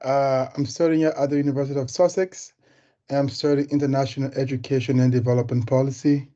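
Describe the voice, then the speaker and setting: A man's voice: mid-range voice, level tone, fast talker, warm tone. A man from Liberia, a graduate student, briefly introducing himself on an online call. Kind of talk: self-introduction. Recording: dull lo-fi call audio.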